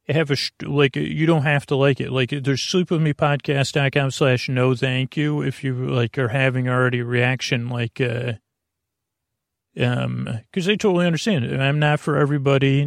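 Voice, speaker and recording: A man with a creaky, lulling voice hosting a bedtime podcast in a meandering, halting way, often interrupting himself. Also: medium pitch, fairly even intonation, no echo, studio quality. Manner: soothing, lulling. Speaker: podcast host. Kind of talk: rambling bedtime sleep podcast monologue.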